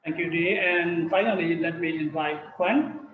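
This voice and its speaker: A man's voice: on the high side, normal speed, a little hiss or hum. Formal man moderating an online panel. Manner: formal, inviting speakers one by one. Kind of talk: webinar moderating. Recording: roomy, dull webinar audio.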